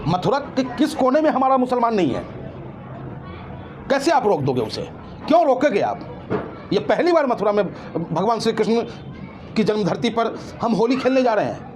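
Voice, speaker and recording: A man's voice: extremely high pitch, sing-song, normal speed, pauses often, a crowd in the background, noisy background. A man giving impassioned opinions as an interview guest, emphatic and indignant, full of rhetorical questions.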